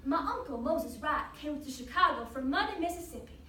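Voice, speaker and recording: A woman's voice: high-pitched, expressive, brisk, sharp tone. A woman performing a dramatic monologue as a devoted, grieving mother, telling her memories in a solemn, tender way. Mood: tender reminiscing. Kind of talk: competitive dramatic interpretation monologue. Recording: roomy, distant mic, faint noise.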